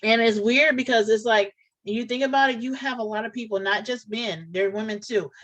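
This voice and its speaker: A woman's voice: fairly high pitch, brisk, a bit bright. A woman chatting casually on a reaction show, earnest and rambling, often restarting her sentences. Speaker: co-host of a reaction channel.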